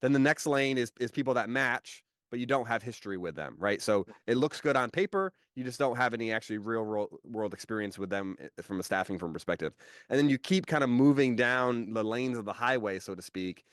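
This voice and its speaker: A man's voice: medium pitch, animated, a little fast, fairly bright tone, no echo, clean studio sound. A man hosting a business interview, chatting easily and checking in with "right?" as he explains. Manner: conversational, with frequent "kind of" and "right?" checks. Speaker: host.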